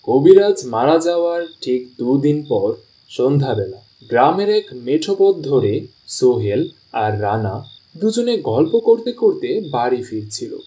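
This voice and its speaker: A man's voice: mid-range voice, sing-song, measured pace, dark tone, low-quality recording. A man reading scripted storytelling narration as the narrator of a tale.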